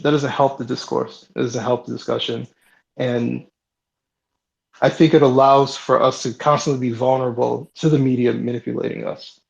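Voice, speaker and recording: A sick man hosting a live online audio chat, talking in a relaxed, hesitant way with many ums and restarts. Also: mid-range voice, gravelly. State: sick, voice deeper than usual. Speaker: host. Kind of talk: casual closing remarks on a live audio chat. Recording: slightly dull lo-fi online audio.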